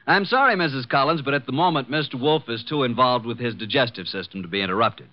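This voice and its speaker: An actor playing a breezy, wisecracking detective's assistant, glib and sarcastic, in old-time radio drama style. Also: male voice, mid-range voice, fast, close to an everyday voice. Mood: glib, polite. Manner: glib, sarcastic, smooth-talking. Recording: muffled vintage radio broadcast.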